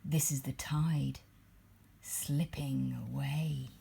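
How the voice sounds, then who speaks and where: Slow, low-voiced woman reading a bedtime story. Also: vocal fry, slight background noise. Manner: measured, expressive storytelling. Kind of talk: storybook read-aloud in rhyming verse.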